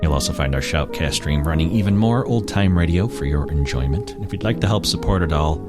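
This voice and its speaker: A man's voice: extremely low pitch, lively intonation, a little fast. A man giving a scripted podcast sign-off as the host. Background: prominent guitar music.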